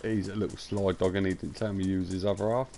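A young man, his voice croaky and pitched on the low side. He chats with cheeky, crude humor as he live-streams a game from a home setup. A faint hiss sits under his voice. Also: flat delivery, fast, dark tone. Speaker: gaming streamer. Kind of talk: casual live-stream banter.

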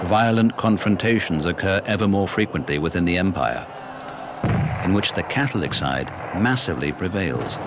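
A man reading scripted documentary narration in a measured, formal manner. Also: deep voice. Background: music.

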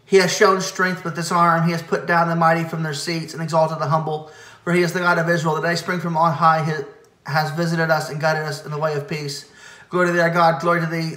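A man leading a church service, chanting hymn verses in a quick, steady recitation with occasional stumbles and self-corrections. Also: a little high, reverberant.

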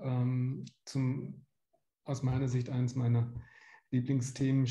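A man's voice: mid-range voice, warm tone. A man in his fifties from Germany giving an explanatory, somewhat hesitant medical lecture over a video call. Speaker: medical lecturer, pediatric gastroenterologist. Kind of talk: slide lecture to patients. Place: small indoor room, on video call. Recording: roomy home or office echo.

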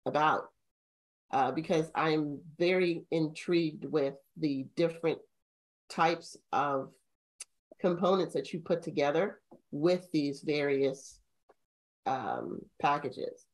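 An older woman co-hosting a video call chat, asking questions in a halting way with many ums. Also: low-pitched, rough recording. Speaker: co-host. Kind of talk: casual video call interview. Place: home room.

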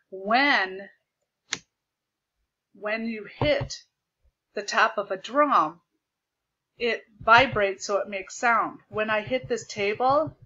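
A woman teacher projecting her voice loudly as she explains a lesson step by step over a video call, repeating the key points. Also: mid-range voice, highs cut off. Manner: loud projected voice. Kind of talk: remote classroom lesson. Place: home desk with a document camera. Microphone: computer mic on a video call.

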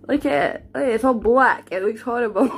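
A woman films a friend on her phone in a cluttered flat, teasing him and laughing almost nonstop. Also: on the high side, normal speed, a little hiss or hum. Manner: laughing constantly, teasing, bossy. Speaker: person filming a friend's chilli challenge. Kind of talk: casual teasing chat behind the camera. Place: small cluttered flat indoors. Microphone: phone held by the speaker.

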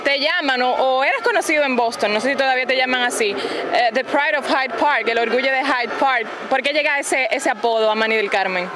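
A woman's voice: high-pitched, fairly quick. A woman sports reporter interviewing a ballplayer outdoors on a baseball field, speaking into a handheld mic with a polished, announcer-like delivery. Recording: noisy outdoor stadium ambience.

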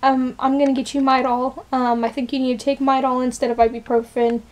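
A woman's voice: high-pitched, flat delivery, fairly quick, darkish tone. A young woman in her twenties, unwell and shaky, chatting casually in a personal vlog, rambling with many fillers and restarts, filmed close up on a camera in a small bedroom. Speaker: vlogger. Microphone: camera close up, built-in mic.

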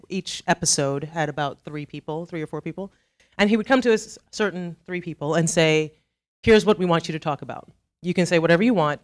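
A middle-aged woman from Trinidad with a Trinidadian accent, giving a chatty, self-deprecating conference talk full of asides. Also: lowish voice. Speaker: conference speaker. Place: conference hall.

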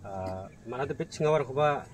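A man's voice: medium pitch, animated, fairly quick, distant mic. A man in his thirties, an event organizer, answering interview questions outdoors by a pond in a hesitant way, often opening with "ah". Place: outdoors by a rural fish pond.

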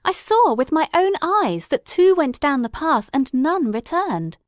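A woman playing an excitable, devoted gentleman storyteller in a dramatic audiobook, with an expressive, earnest and formal delivery. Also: high-pitched, a little slow, no echo, studio quality. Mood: astonished, overjoyed.

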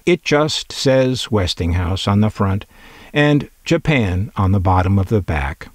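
A man with a fairly low voice reads scripted narration for a hobby video. His intonation moves around a lot, giving a wry, opinionated delivery with dry humor. The sound is close and dry, with very little room. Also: lively intonation, a little slow, no echo. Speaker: presenter of a collectors' video.

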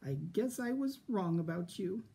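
A man voicing a kindly, sweet old woman in a storybook, with gentle, drawn-out speech. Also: sits high, lively intonation. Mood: sad.

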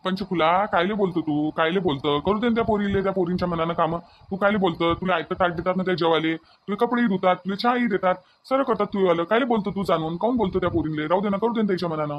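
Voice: mid-range voice, quick pace, hardly any pauses, dull audio, slight background noise, close to an everyday voice. A performer voicing a mild middle-aged village husband in a comic cartoon, chiding and placating in a Varhadi Vidarbha accent. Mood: gently chiding. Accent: Varhadi Marathi of Vidarbha.

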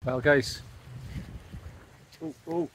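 Middle-aged guy explaining fishing on a beach, bit high-pitched, faint sea noise. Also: wildly swooping pitch, very slow, vocal fry, fairly bright tone, low-quality recording. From Wales. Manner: chatty, explaining as he works. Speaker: fishing vlogger. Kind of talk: casual chat to camera. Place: outdoors on a pebbly beach by the sea. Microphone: camera close up, held or on a stand.

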